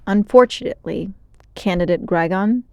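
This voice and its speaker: A woman puts on an armored alien warlord for a children's storybook. He is pompous and menacing, booming out slow, weighty proclamations in a dry, close recording. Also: dry recording, lightly acted. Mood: demanding. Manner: pompous, forceful, drawn-out proclamations.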